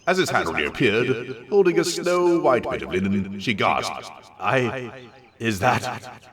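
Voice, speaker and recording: A man reading a comic story aloud in a theatrical, expressive narration with wry delivery. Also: mid-range voice, measured pace, far from the mic. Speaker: story reader.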